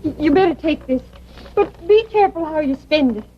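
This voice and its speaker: A woman's voice: sits high, animated, fairly quick. An American woman playing a scolding but caring small-town sister, brusque and worried, in a television drama. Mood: brusque, concerned. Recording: dull vintage television broadcast.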